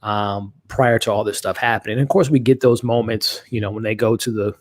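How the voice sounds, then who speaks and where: A man's voice: on the low side, steady tone, brisk, no room sound, studio quality. Nasally man rambling on a live stream. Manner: rambling, many restarts and fillers. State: nasally from allergies. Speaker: host of a live watch-along stream. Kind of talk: casual live-stream commentary, reading chat.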